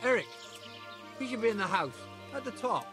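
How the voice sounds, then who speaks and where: An actor playing a suspicious, brusque bandit gate guard in a medieval game. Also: male voice, sits high, very animated, normal speed, over music. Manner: brusque, challenging. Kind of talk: video game cutscene acting.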